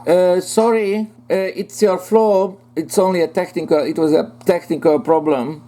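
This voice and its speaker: A man hosting a lecture series, introducing a speaker in a halting way with many fillers. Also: high voice, fairly even intonation, dark tone, slight background noise.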